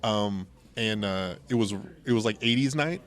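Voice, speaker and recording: A man's voice: lowish voice, normal speed, a bit bright. A middle-aged American man hosting a podcast, telling stories conversationally with plenty of digressions and asides. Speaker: podcast host. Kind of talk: casual storytelling and banter. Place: local game store.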